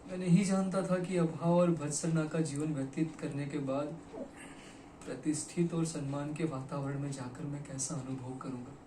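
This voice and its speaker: A man performing a theatrical monologue as a broken, regretful poet, speaking dramatically and deliberately with weighted pauses. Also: a little high, steady tone, natural voice. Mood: pensive, regretful. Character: broken, regretful poet confessing to his lost beloved. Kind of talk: theatrical Hindi stage monologue. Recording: echoey room, distant mic, faint noise.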